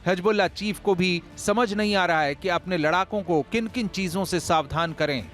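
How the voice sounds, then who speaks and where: High-pitched man narrating dramatic news reports. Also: normal speed, fairly bright tone, soft background music. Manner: emphatic, dramatic delivery. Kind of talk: scripted dramatic news voice-over.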